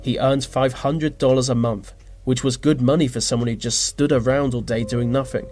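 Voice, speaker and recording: A man reading scripted narration for a list video, slipping into dry, deadpan asides. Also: medium pitch, normal speed. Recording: music behind the voice. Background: background music.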